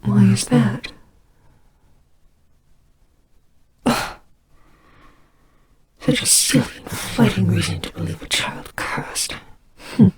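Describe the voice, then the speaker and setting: A woman voicing a gentle, soothing goddess of death in an audio roleplay, speaking softly and breathily with hesitant, reassuring care for a child. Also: mid-range voice, takes it slowly, pauses often. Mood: concerned, puzzled. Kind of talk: slow dramatic audio roleplay. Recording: clearly noisy amateur home recording. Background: ambience sound effects.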